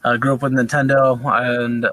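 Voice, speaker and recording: Brief: thirties man, podcast co-host, bright and dry, loose rambling chat. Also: mid-range voice, little pitch movement, normal speed, no room sound, rough recording. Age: 30s. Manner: rambling with many fillers and restarts. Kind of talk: casual chat.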